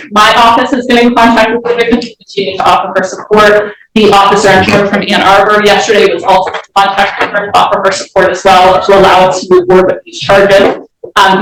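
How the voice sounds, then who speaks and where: Female prosecutor, mid-range voice, methodical courtroom argument, heavily reverberant and distant recording. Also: medium pitch. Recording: very echoey courtroom, distant mic.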